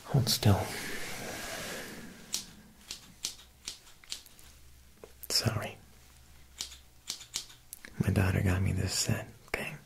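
A young man playing an Italian mob boss tailor in a whispered ASMR roleplay, with an Italian-American accent and a smooth, insinuating manner. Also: low-pitched, level tone, exaggerated voice. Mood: defensive, gruff. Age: young adult. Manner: slow, hushed, insinuating, with pauses.

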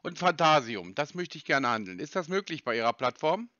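A man with a bright, forceful voice plays a naive customer on a phone call, asking probing questions and arguing. His voice sits slightly high. The audio is dry and a bit dull. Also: big pitch swings, fairly quick, no room sound. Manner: probing, mock-naive, argumentative. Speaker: scam baiter posing as a customer.

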